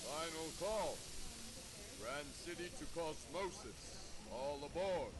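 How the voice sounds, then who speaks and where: A man playing a blustery railway worker in an old-time radio drama, with big, drawn-out delivery. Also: a little high, expressive, heavy background noise. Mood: bellowing. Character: bellowing, gruff railway conductor and dispatcher.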